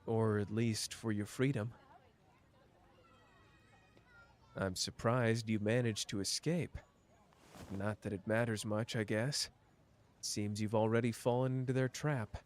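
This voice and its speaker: A man's voice: medium pitch, a little mellow, close to an everyday voice. A man playing a wry, teasing young schemer. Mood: curious. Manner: dry, teasing, quick-witted, close and intimate. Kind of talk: ASMR listener roleplay.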